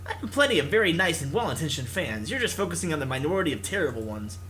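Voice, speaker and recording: A let's-play reader voicing a brash, blunt young female pro gamer who is quick to defend herself. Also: mid-range voice, sing-song, a bit bright, a little hiss or hum. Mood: indignant. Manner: blunt, indignant.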